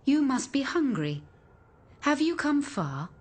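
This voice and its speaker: An actress playing a serene, courteous and oddly ageless housewife in a radio drama. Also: female voice, high-pitched, very animated, slow, a little mellow, heavily acted. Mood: hospitable. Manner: placid, formal, courteous. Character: serene, polite, uncannily ageless housewife and mother.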